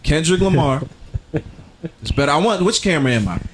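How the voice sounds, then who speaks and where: A man's voice: a little high, big pitch swings, fairly quick, over a noisy crowd. A young man hosting a podcast, playfully steering the talk to new topics. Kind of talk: casual chat.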